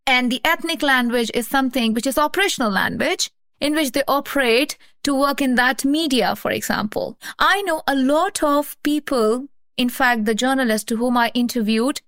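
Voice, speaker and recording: Woman from Pakistan, researcher; earnest, explanatory, fairly high voice, dry close mic. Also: a bit bright, no room sound. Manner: earnest, explanatory, repeats phrases. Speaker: researcher, guest. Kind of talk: academic conversation.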